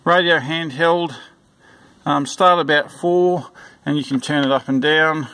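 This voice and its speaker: A man giving an informal, unscripted instructional walkthrough in a plain-spoken, halting way, repeating his instructions. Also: on the high side, fairly even intonation, bright, forceful tone. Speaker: church sound system instructor. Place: indoors in a church.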